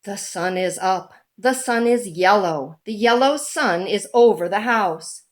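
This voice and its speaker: A woman reading a children's picture book aloud in a deliberate, animated storytelling manner. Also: mid-range voice.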